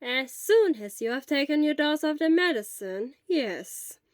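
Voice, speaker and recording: Woman playing a kindly, stern school nurse, high-pitched. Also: animated, no echo, clean studio sound. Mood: gently explaining. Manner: measured, firm, explanatory. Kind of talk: acted audiobook dialogue.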